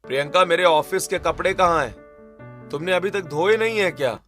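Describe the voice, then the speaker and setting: A man's voice: high voice, normal speed, fairly bright tone, faint music behind. A man voicing a tired, neglected young office-working husband in a family story, complaining and pleading. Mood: frustrated.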